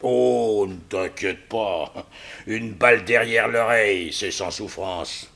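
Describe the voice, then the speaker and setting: Gloating villain, forceful and theatrical. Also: male voice, fairly low pitch, slow pace, creaky, full character voice. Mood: triumphant. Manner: theatrical, mock-polite, chuckling menace. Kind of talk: 1960s French radio crime serial acting.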